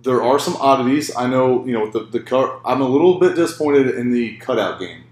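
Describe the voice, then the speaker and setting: Man, podcast co-host, mid-pitch, jokey and laughing, recorded in a roomy space. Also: brisk, darkish tone. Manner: laughs often, jokey asides. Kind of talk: casual sports chat.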